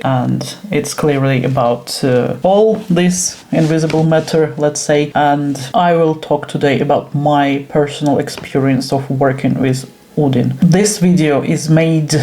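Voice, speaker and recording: A woman with a Russian accent talks alone in her home work room in a casual vlog. Her voice is mellow and warm. She pauses often and sounds unsure of her English. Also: sits low. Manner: nervous, hesitant, frequent pauses. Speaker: esoteric teacher. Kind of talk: personal vlog monologue.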